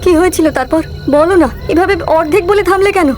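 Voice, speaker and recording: A woman's voice: extremely high pitch, fast, over music. A woman voicing an anxious village wife and mother in a cartoon ghost story, pleading and repeating her words. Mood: worried, pleading. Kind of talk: Bengali animated ghost story voice acting.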